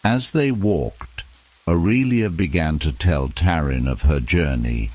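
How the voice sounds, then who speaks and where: A man narrating a bedtime fantasy story in a measured, deliberate storybook style. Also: rumbling low, lively intonation, a little mellow.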